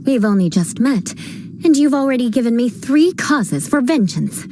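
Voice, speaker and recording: A woman's voice: a little high, animated, normal speed, noisy background, natural voice. An actress playing a proud young noblewoman knight captain who talks with dry haughtiness and vows vengeance over every little slight. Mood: wry. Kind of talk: video game voice acting. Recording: game dialogue with background music.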